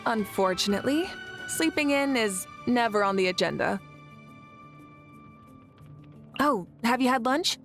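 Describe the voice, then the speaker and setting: An actress playing a confident, warm fire goddess and leader in a video game, speaking in a composed, measured, assured way. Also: female voice, on the high side, animated. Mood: relaxed, friendly. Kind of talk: scripted video game character lines. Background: background music.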